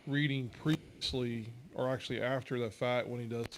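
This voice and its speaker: A man leading a church Bible discussion in an earnest, measured way, asking rhetorical questions. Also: medium pitch, steady tone, slight background noise, rough recording.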